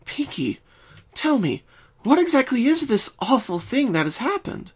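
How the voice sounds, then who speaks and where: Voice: medium pitch, sing-song, unhurried, slightly rough, pitched above the performer's own voice. A performer voicing a caring, bookish young female unicorn pony who speaks earnestly and precisely in a cartoon fan reading. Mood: gently probing. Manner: concerned, precise, articulate.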